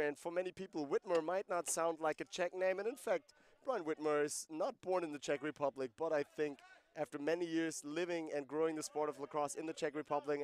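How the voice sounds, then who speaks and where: A man's voice: a little high, clear, resonant tone. Man calling a lacrosse game live. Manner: conversational, explains rules and player backgrounds between plays. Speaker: play-by-play commentator. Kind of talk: live sports commentary.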